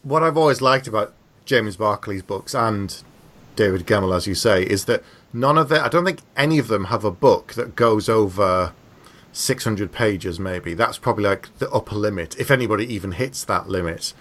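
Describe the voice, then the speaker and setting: A man's voice: on the low side, animated, moderate pace, a bit bright. A man chatting casually on a book podcast, opinionated and conversational, acting out little imagined exchanges to make his points.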